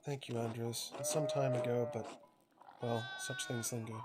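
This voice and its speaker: A man's voice: medium pitch, steady tone, normal speed, lightly acted. Man playing a subdued, weary peasant mother. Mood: grieving. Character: gentle, weary peasant mother keeping the peace. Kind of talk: dramatic reading of story game dialogue. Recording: clearly noisy recording.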